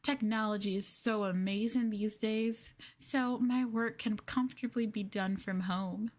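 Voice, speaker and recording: A woman voicing a sweetly possessive, obsessive young girlfriend who holds her lover captive, in a soft, cooing, hesitant ASMR roleplay delivery. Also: mid-range voice, steady tone, measured pace, close to an everyday voice. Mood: dreamy, adoring. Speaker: streamer roleplaying for viewers.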